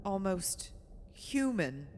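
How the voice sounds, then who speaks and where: An actress playing a frightened, pleading daughter in an old-time radio horror drama, full of melodramatic urgency. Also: female voice, medium pitch, sing-song, very slow, darkish tone, big-room sound, slight background noise. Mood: uneasy dread.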